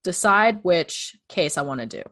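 Chatty woman with a low voice. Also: expressive, moderate pace, fairly bright tone. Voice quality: low-registered voice. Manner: chatty, explains her process step by step. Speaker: true crime podcast host. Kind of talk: casual conversation.

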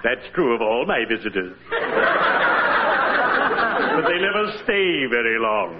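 Morbid undertaker, solemn, courtly, drawn-out delivery, medium pitch, muffled vintage radio sound. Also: male voice, very animated, heavy background noise. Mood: morbidly droll. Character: lugubrious, courtly undertaker who relishes morbid puns. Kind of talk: 1940s radio comedy acting.

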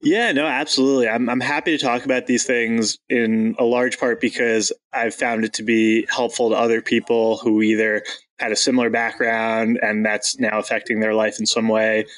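A man's voice: medium pitch, level tone, moderate pace, a bit bright, poor audio. A young man from Long Island, a guest in an interview, talking openly and earnestly about his past, with fillers here and there. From Long Island, New York. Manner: earnest, open, with occasional laughs and fillers. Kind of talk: candid interview conversation.

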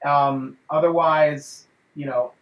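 A man's voice: fairly high pitch, fairly even intonation, takes it slowly, fairly bright tone, highs cut off. A man hosting a casual fan show, rambling with lots of fillers and laughing often. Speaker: podcast host. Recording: roomy echo.

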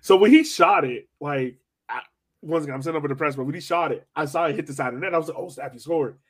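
A man's voice: on the high side, fast talker, slightly rough. A man co-hosting a soccer podcast, chatting informally and with slang like a fan. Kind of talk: casual sports fan chat.

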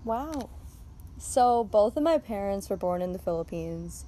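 A woman's voice: mid-range voice, expressive, unhurried, mellow. A teenage girl from Chicago co-hosting a podcast, chatting casually with lots of giggles and "like". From Chicago, USA. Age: teens. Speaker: podcast co-host. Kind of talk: casual chat.

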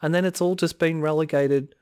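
A man co-hosting a podcast in casual chat, slightly hoarse, ranting in frustration with frequent sighs. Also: on the high side, fairly even intonation, brisk, darkish tone, dry recording, clean studio sound. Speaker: podcast co-host.

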